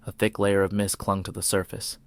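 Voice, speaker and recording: Man, very low voice, even intonation, brooding suspense narration, deliberate dramatic phrasing. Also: no echo. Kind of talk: scripted suspense fiction narration.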